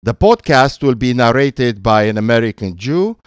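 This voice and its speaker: An older man from Romania hosts a podcast, reading his script in a clear, resonant voice with a Romanian accent. The recording is dry and close, and his delivery is formal and deliberate. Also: medium pitch, animated, no echo. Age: 60s or older. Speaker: podcast host. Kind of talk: scripted narration.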